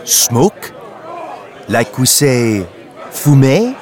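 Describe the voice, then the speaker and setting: A narrator puts on a proud nobleman, a colonel who insists on his title. He speaks slowly and with precise care, his plain, his pitch rising and falling widely. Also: sing-song, a little mellow, some chatter behind. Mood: courtly. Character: haughty French colonel, a marquis, middle-aged.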